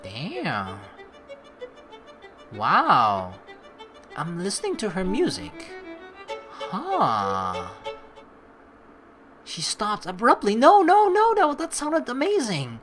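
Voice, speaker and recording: A man's voice: sits high, extremely slow, pauses often, faint music behind. A young man giving chatty let's play commentary, reading game text aloud and reacting to it. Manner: animated, chatty, reacting as he reads.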